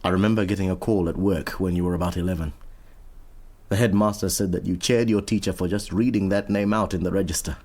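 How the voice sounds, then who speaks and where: Husky low male voice, middle-aged father, stern, reproachful, earnest, exasperated, radio drama. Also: steady tone, quick pace, darkish tone. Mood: subdued.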